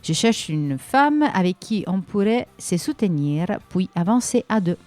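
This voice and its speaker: A woman's voice: lowish voice, sing-song, measured pace, over music. A woman hosting a radio segment, reading listener personal ads and short news in a friendly, conversational way with frequent hesitations. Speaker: radio show host.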